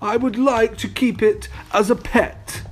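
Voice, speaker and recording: A man voicing a young princess in a children's storybook, gentle but spirited, with imploring expression. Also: very high voice, fairly even intonation, measured pace, soft background music, voice pushed up. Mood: pleading. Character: gentle princess, young wife, pleading yet defiant.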